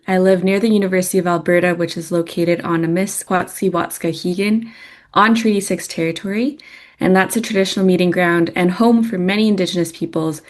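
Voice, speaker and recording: Young woman co-hosting a podcast. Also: medium pitch. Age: young adult. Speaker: student podcast co-host. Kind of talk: scripted podcast narration.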